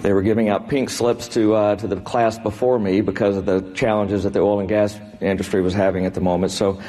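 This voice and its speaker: Low-voiced older American man, echoing hall. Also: level tone, a little fast, warm tone. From Texas, United States. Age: 60s. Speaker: interviewed business executive. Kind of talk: unscripted on-stage interview answers. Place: large indoor event room before an audience.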